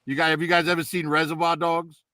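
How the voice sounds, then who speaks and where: A man's voice: on the high side, level tone, quick pace, pushed and bright, dry recording. A man with an Italian accent, talking in a put-on character voice as a guest in a casual chat.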